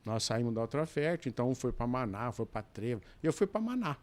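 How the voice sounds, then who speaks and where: An older Brazilian man, in his sixties, talks through his past at an easy pace. His pitch sits in the middle, and he rambles, doubles back and drops asides mid-sentence. He sounds like a guest at a podcast table. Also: very animated, a little fast. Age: 60s. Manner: rambling, restarts often, adds asides. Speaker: podcast guest, businessman and dispatcher. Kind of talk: relaxed storytelling in a chat.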